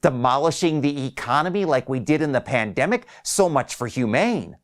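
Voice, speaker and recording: A man's voice: mid-range voice, expressive, normal speed. A man reading his own short story as a scripted first-person narration.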